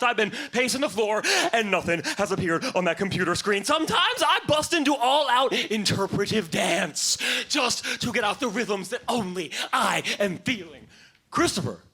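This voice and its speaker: A young man performing poetry live to an audience, with an emphatic, theatrical delivery and dramatic pauses. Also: high voice, bright, forceful tone. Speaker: slam poet performing on stage. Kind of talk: live poetry reading and spoken word to an audience.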